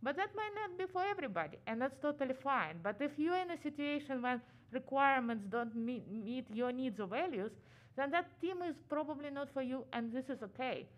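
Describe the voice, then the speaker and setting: Ukrainian woman presenting at a conference, kinda high voice, talks fast, lots of uh's, muffled by a mask. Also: animated. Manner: fast-talking, frequent "uh" fillers. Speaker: conference speaker. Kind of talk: conference talk. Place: conference room.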